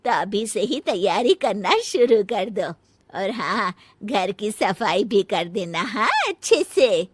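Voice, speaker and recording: A woman voicing a mother-in-law in a cartoon family drama, a matriarch instructing her daughters-in-law in a drawn-out manner. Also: mid-range voice, animated, breathy edge, dry recording.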